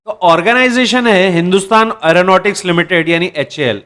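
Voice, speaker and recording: A man with a notably high voice presents job news, speaking straight to his viewers. He reads out dates and criteria, now and then stumbling and restarting a word. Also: moderate pace, a bit bright. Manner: addresses viewers as "दर्शकों", occasional restarts. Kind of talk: explainer reading job details.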